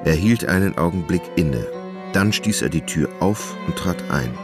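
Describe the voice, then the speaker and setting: A male narrator reads scripted literary prose for a German radio play. His voice is exceptionally low and gravelly, his delivery measured and solemn, with soft music faintly behind him. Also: expressive.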